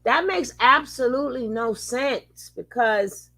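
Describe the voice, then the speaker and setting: A woman comments casually on a live stream, skeptical and wry, laughing as she picks things apart. Her voice is bright and a bit high, with a gravelly fry underneath. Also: takes it slowly.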